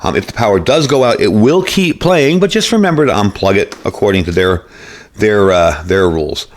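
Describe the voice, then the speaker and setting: A man's voice: lowish voice, animated, moderate pace. A man giving a casual hands-on product demonstration in a folksy, conversational way, often repeating himself. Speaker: radio product reviewer.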